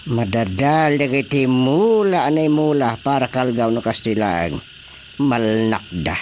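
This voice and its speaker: A man playing an excitable, exclamatory village chairman with an Ilocano accent in a radio comedy drama. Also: mid-range voice, a little slow, slight background noise, close to an everyday voice. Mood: grumbling.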